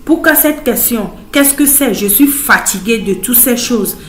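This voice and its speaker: High-voiced, fed-up wife played by a woman. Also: lively intonation, measured pace. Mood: exasperated. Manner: plaintive, repetitive complaining. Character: fed-up, despairing wife in a poor household. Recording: roomy echo, music underneath.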